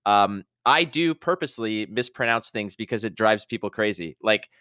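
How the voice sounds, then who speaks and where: Joking guy from quebec, crisp bright voice, dry sound, just chatting. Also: lowish voice, expressive, normal speed, dry recording. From Quebec, Canada. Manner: joking, playful, teasing. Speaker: tournament caster. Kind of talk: casual panel chat.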